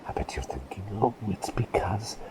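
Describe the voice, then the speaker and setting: A man's voice: low voice, sing-song, moderate pace. A man whispering as an eccentric, chummy plague doctor in an ASMR roleplay, rambling and repeating phrases as he talks intimately to the listener.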